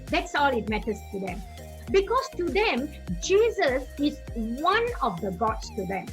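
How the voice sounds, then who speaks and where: An earnest woman evangelist from Singapore speaks in a high, very lively voice, repeating key phrases to press her point home. Soft music plays faintly underneath. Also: measured pace. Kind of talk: teaching testimony.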